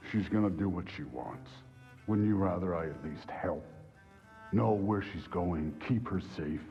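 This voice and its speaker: A man playing a gruff, weary Norse thunder god and devoted father in a dramatic game cutscene, gravelly and plainspoken. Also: deep voice, expressive, a little slow, big-room sound, muffled recording, soft background music, full character voice. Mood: defensive.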